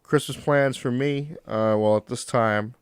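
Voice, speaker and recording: A man's voice: medium pitch, fairly even intonation, a little slow, clean tone, no room sound. A young man co-hosting a podcast, stuffed up from a cold, talking loosely and swearing a lot while rambling. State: congested from a cold. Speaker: podcast co-host. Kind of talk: casual chat.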